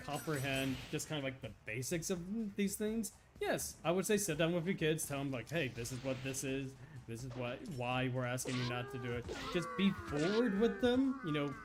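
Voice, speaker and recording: A man in his thirties talks over a game stream at a desk mic, his voice a bit higher than most and bouncing all over the place. He rambles earnestly with restarts and chuckles, and faint music plays behind him. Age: 30s. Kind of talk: casual live-stream chat.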